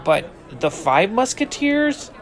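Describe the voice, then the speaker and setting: A man playing a blunt, wisecracking high school boy in an audio drama. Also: very animated, slow pace, faint music behind, full character voice. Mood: teasing. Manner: blunt, joking.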